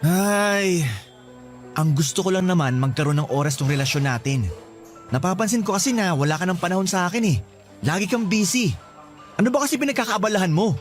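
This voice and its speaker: A man's voice: high voice, lively intonation, fairly quick, faint music behind. A man acting as an earnest young student boyfriend, pleading and insecure, in a Filipino radio romance drama with a Filipino accent. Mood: hurt, pleading.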